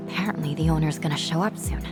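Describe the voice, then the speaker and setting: A woman's voice: deep voice, fast, loud music behind the voice, lightly acted. A woman playing a confident, savvy young woman in a video game, with a casual, knowing delivery. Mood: informative. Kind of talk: English video game voice acting.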